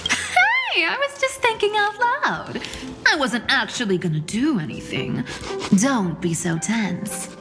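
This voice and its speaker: Sly, swooping playful trickster. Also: female voice, high voice, huge pitch swings, slow, in a large hall, loud music behind the voice, heavily acted. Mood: playfully defensive. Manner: teasing, theatrical. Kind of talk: video game voice acting.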